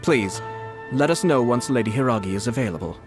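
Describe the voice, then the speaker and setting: An actor playing a polite, shrewd young noble commissioner in a video game, speaking in a measured, formal way. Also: male voice, mid-range voice, animated, over music, natural voice. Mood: courteous.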